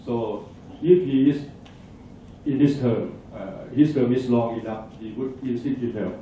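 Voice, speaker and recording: A man from Thailand presenting at a conference, answering audience questions in a halting way with many fillers and restarts. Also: medium pitch, steady tone, mellow, slight background noise. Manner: hesitant, halting, restarts words, frequent fillers. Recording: echoey hall, distant dull mic.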